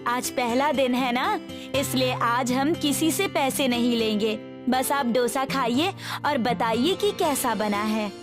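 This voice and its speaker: A woman's voice: sits high, takes it slowly. A woman playing a supportive, practical wife of a street food vendor in a cartoon story. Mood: cheerful, inviting. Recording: music behind the voice.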